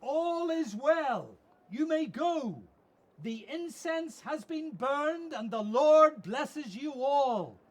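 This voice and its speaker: An actor playing a patient, pious temple official, speaking in a declamatory way in a radio drama. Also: male voice, very high voice, expressive, slow pace, full character voice. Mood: solemn, proclaiming.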